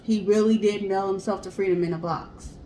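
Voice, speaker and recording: A woman's voice: mid-range voice, fairly even intonation, brisk, dark tone. A woman co-hosting a casual story show, explaining history and speaking directly to listeners. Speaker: podcast co-host and storyteller. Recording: roomy echo, clear background hiss.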